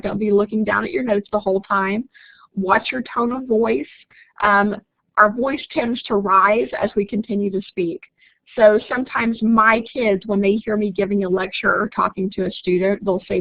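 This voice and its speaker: A woman's voice: medium pitch, steady tone, normal speed, creaky, no room sound, phone-quality sound. A woman with an Oklahoma accent giving a chatty live online lecture as a college instructor, reading out and answering student comments. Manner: chatty, reads out student comments, laughs easily.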